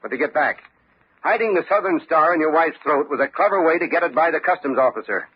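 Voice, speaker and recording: A confident, unflappable detective lays out his words pointedly in an old radio play. His voice is husky and a touch high. The recording is dull and band-limited, like vintage radio. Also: expressive, fast. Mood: coolly accusing. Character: shrewd, unflappable male police lieutenant detective. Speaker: actor. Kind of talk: old-time radio detective drama acting.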